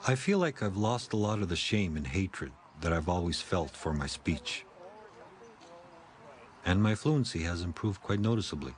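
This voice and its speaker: A man in his fifties with a stammer, reading calm, reflective narration for a documentary about his own speech. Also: low voice, moderate pace, slight background noise.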